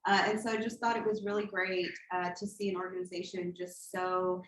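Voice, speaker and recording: A woman's voice: fairly low pitch, little pitch movement, fairly quick. A woman in her thirties, from Colombia, giving an earnest campaign speech at a community meeting, with frequent filler words. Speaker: political candidate. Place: church meeting hall. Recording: echoey hall.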